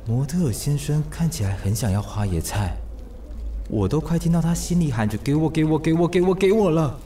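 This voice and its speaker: A man's voice: fairly high pitch, animated, brisk, warm tone, a little hiss or hum, natural voice. An actor playing a good-natured, easily smitten widowed single father in a radio drama, musing to himself expressively. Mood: smitten, admiring. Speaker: voice actor, lead. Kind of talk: radio drama acting with inner monologue.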